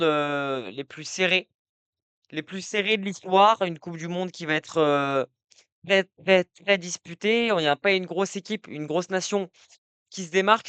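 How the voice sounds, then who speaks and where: A young man chatting on a live stream, rambling and restarting as he answers his viewers. His voice sits a bit high and comes across clean and crisp. The sound is close and dry, with no room around it. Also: fairly bright tone, dry recording. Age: young adult. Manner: rambling, many fillers and restarts, talks to chat. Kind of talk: casual live-stream football talk. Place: indoor room at night.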